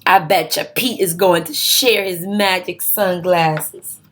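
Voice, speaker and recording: A woman's voice: lowish voice, steady tone, slow pace, a bit bright. A woman reading a picture book aloud for young children, expressively, with simple asides to her listeners. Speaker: read-aloud host.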